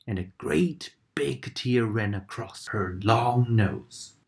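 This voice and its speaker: A woman reading a children's storybook aloud with expressive, dramatic narration that sometimes drops into a whisper. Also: rumbling low, takes it slowly, creaky.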